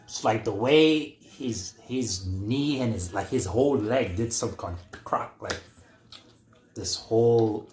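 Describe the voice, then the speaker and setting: A man's voice: on the low side, slow, darkish tone. A young man casually adding his side of a story, slangy, repeating himself for emphasis. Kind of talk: casual chat. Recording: roomy, echoey sound.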